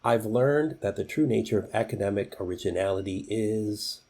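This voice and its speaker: A man's voice: lowish voice, normal speed, darkish tone. A man presenting scripted instructional tips, speaking directly to the viewer in an instructive way.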